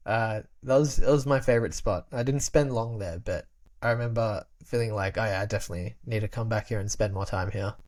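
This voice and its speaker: A man's voice: fairly low pitch, a little fast, darkish tone. A young man talks in an easygoing, rambling way, full of "like" fillers and restarts. He speaks as a casual podcast guest who grew up speaking Albanian at home, and he laughs often as he goes. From Australia.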